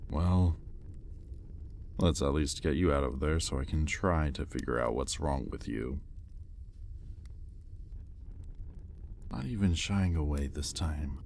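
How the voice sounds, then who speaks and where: Guy voicing a sardonic demon for audio rp, super deep menacing drawl, exasperated and bossy, some noise in the recording. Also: darkish tone. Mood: irritated, impatient. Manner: slow, deliberate, menacing drawl. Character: imperious male demon buyer, sardonic and exasperated. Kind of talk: intimate audio roleplay acting.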